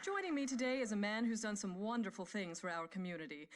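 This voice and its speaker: A woman's voice: mid-range voice, expressive, fast, close to an everyday voice. A woman playing an effusive, callous talk show host with a polished presenter's delivery. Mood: bright, pointed. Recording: loud background noise.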